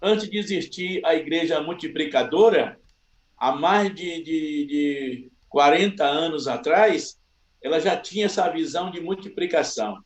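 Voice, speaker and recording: Pastor telling his story slow and humble, high voice, echoey room. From Salvador, Bahia, Brazil. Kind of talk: personal testimony interview.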